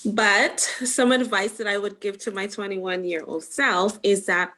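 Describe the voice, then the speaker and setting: A young woman speaking as a panellist in an online discussion, measured and deliberate. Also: mid-range voice, steady tone, sharp tone. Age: young adult. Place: online video call.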